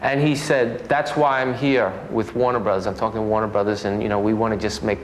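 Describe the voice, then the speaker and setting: A martial artist from the United States tells an interview story with eager excitement and a few fillers. His voice sits a little low. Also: fairly quick, a little hiss or hum, poor audio. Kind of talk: conversational anecdote.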